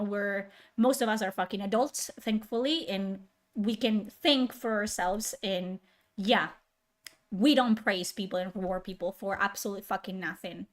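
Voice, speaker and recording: A woman's voice: medium pitch, fairly bright tone. Sarcastic, heated woman streamer ranting.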